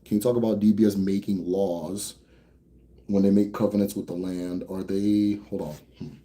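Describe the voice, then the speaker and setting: A young American man hosting a casual Q&A for viewers. He talks bluntly, swears freely, rambles a bit, then slows down to explain. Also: sits low, level tone, warm tone. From United States. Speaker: host answering viewer questions. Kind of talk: casual livestream Q&A.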